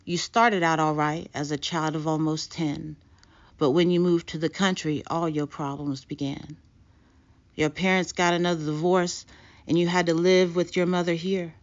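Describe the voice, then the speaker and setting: A calm, solemn blind street seer , recited by a woman, foretells troubles in rhyming narrative verse. The man speaks like an oracle, foreboding and steady. The recording is dull and lo-fi. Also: deep voice, moderate pace, close to an everyday voice. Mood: solemn foretelling.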